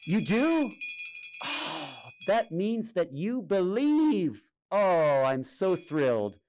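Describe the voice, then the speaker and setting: Slow, highly expressive male storyteller, high-pitched. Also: slight background noise. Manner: theatrical, expressive, with drawn-out pauses. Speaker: storyteller reading a picture book aloud. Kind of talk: dramatic children's storytime narration. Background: music and sound effects.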